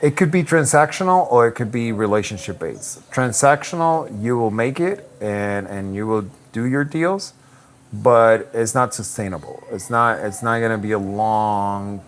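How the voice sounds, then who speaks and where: Man, 40s, lowish voice, easygoing and reflective, faint room ambience. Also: moderate pace, a little hiss or hum. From Puerto Rico. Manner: easygoing, reflective, frequent restarts and "um". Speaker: luxury real estate broker, guest. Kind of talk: conversational interview answers.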